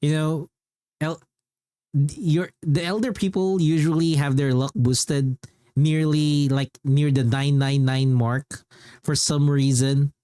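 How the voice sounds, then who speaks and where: A man's voice: a little high, steady tone, slow pace, dark tone, smooth, no room sound. A man streaming a game, chatting casually with viewers and reacting to what is on screen. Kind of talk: casual livestream chat, reacting to gameplay and viewers.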